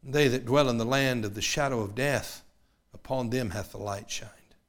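A man, preaching a sermon. His delivery swings from loud, fervent preaching to quiet personal asides. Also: mid-range voice, normal speed. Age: 50s.

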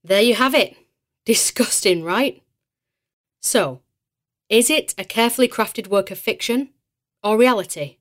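A woman's voice: fairly high pitch, unhurried, pauses often, fairly bright tone. Wry woman acting as mystery podcast host. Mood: wry, intrigued. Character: female host of a spooky mystery podcast, arch and breezy. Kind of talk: scripted podcast narration in an audio drama.

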